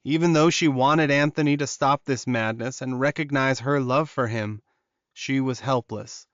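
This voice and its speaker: A man narrates a fantasy romance audiobook in a medium-pitched voice. The delivery is scripted storytelling, and the recording is dry and close. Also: normal speed, dry recording, dull audio.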